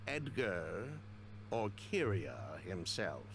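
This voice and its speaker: A man voice actor playing a calm, guarded, thoughtful character in a video game, with a reserved delivery. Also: low-pitched, slight background noise, heavily acted. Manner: unhurried, reserved. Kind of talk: scripted video game voice acting.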